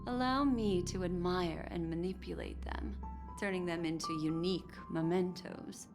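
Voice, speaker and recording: An actress voicing an enigmatic, teasing and seductive woman in a video game, poised and coy with lingering, theatrical phrasing. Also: lowish voice. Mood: smooth, explaining. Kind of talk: scripted video game character dialogue. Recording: clean voice over game music.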